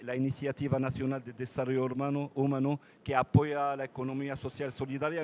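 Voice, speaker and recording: Halting man, many restarts. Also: mid-range voice, darkish tone. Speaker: mayor. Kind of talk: conference panel talk. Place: conference panel.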